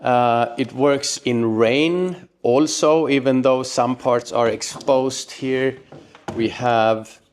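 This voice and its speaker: A man presenting a motorbike gear how-to in a casual, unscripted way, often pausing mid-sentence. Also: mid-range voice, steady tone. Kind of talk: casual unscripted explainer to camera.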